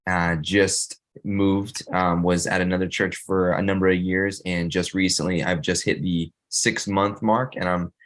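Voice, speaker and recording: A man in his twenties, a church worship director, talking as a guest in a warm, reflective way. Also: low-pitched, flat delivery, a little slow, hardly any pauses. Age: 20s. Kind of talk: casual chat.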